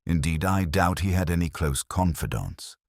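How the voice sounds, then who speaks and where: A composed, faintly indignant lady treasurer who weighs every word, as a man reads her. The voice sits very low yet moves with a lively lilt. The recording is dry and close. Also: moderate pace, no echo. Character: prim, self-assured middle-aged woman treasurer.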